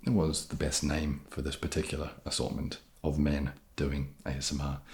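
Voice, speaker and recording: Soft-spoken man, deep and creaky. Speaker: ASMR video creator answering fan questions.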